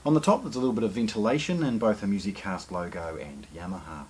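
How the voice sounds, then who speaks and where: A man's voice: on the low side, fast talker, a little hiss or hum. A man giving unscripted unboxing commentary, explaining hesitantly and leaning on the openings of his phrases. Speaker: product presenter.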